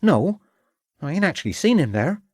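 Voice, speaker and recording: Male narrator as cheeky servant boy for an audiobook: raised pitch, swooping, insistent, self-important, ungrammatical street talk. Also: very animated, normal speed, a little mellow, slightly rough, no room sound, studio quality. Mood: eager, insistent. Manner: colloquial, ungrammatical working-class speech, eager.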